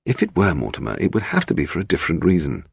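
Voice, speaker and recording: Low, creaky English male narrator. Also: wildly swooping pitch, very fast, no room sound, studio quality. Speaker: audiobook narrator. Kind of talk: scripted mystery story narration.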